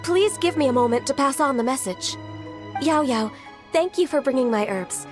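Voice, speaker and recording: An actress voicing a diligent, soft-spoken young secretary in a video game, gentle, formal and a little shy. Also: female voice, high-pitched, expressive, normal speed. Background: game music.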